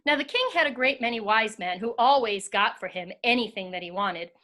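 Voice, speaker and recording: A woman's voice: fairly high pitch, animated, fairly quick, sharp tone. A woman with an American accent hosting and narrating a storybook tale. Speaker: host and narrator, school teacher.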